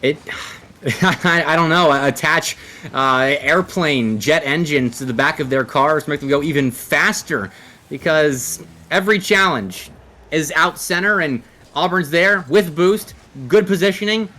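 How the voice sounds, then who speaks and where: A man's voice: fairly high pitch, measured pace, sharp tone. A man giving live esports commentary and analysis, sometimes drawing out words as he works out his phrasing.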